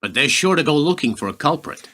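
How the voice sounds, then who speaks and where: A man's voice: on the high side, animated, quick pace, pushed and bright. An actor playing a grave, formal Secretary of Defense briefing his leader in a radio play. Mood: grave, urgent. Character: male Secretary of Defense, grave, formal, well-informed adviser.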